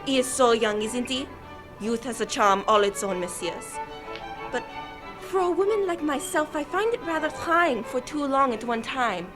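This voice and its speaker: A woman's voice: high voice, animated, normal speed. A woman playing a charming, worldly French society hostess in a radio drama, coquettish and playful. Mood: flirtatious. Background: music.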